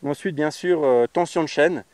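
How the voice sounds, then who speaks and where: A man's voice: a little high, normal speed, a little mellow, no room sound. Chatty man outdoors on a clip mic. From France. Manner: chatty, blunt, slangy, full of fillers. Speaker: motorcycle travel vlogger. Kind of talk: casual how-to talk to camera. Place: outdoors on a grassy roadside. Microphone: lapel mic on riding jacket.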